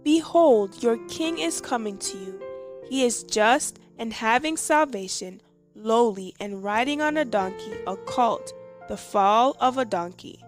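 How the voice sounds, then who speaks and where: A woman with a high-pitched voice reads a scripted devotional. She phrases it carefully at a very slow pace, over faint background music. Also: expressive. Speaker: devotional reader.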